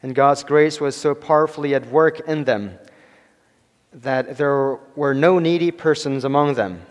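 A man in his forties preaching a sermon to his church, halting with many fillers and restarts and chuckling between phrases. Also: medium pitch. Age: 40s. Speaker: pastor. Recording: long hall reverb.

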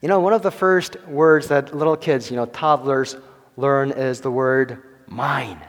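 A man in his forties preaching a sermon to his church, halting with many fillers and restarts and chuckling between phrases. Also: medium pitch. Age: 40s. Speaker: pastor. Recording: long hall reverb.

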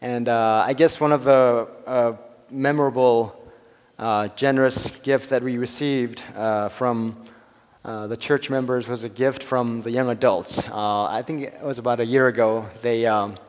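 A man in his forties preaching a sermon to his church, halting with many fillers and restarts and chuckling between phrases. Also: mid-range voice, fairly even intonation. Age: 40s. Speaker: pastor. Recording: long hall reverb.

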